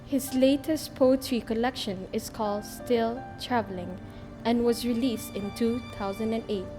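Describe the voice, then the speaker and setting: Female host voice-over, formal scripted intro, slightly high-pitched, music bed behind. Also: steady tone, a little slow. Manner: formal, reading a prepared biography. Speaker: interview host narrator.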